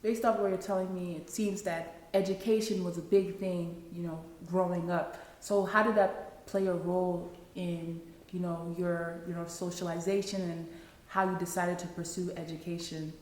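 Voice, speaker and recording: امرأة تقدّم مقابلة بصوت منخفض قليلاً. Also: female voice, on the low side, little pitch movement, moderate pace, darkish tone. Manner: upbeat, encouraging questions. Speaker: interview host. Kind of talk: interviewing. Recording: echoey room.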